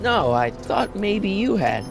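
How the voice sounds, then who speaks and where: A man's voice: high voice, very animated, takes it slowly, vocal fry, over music, exaggerated voice. An actor playing an earnest, puzzled American teenage boy in a video game, speaking casually and hesitantly. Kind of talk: animated video game dialogue acting.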